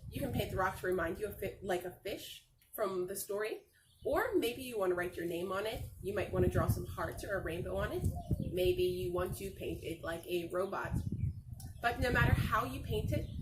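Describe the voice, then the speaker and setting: A woman reading a picture book aloud like a teacher, talking to young children in a simple, encouraging, expressive way with drawn-out phrases. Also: mid-range voice. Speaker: read-aloud storyteller and teacher. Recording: roomy echo, distant mic, faint noise.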